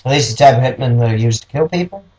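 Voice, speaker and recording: A man playing a determined, smooth-talking noir detective hero with terse, slangy speech in a radio play. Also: medium pitch, expressive, very fast, darkish tone, voice pushed down, close to an everyday voice.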